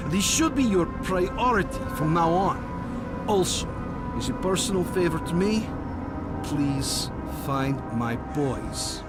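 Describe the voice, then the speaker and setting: A man playing a measured, authoritative military colonel in a video game, steady and burdened with responsibility. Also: sits high, over loud music. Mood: grave, measured. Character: commanding military colonel, steady, burdened, looks after his men. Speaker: military commander character.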